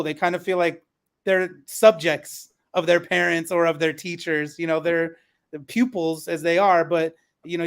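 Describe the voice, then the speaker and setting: A man hosting a panel discussion and talking in a conversational style as an educator. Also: fairly high pitch.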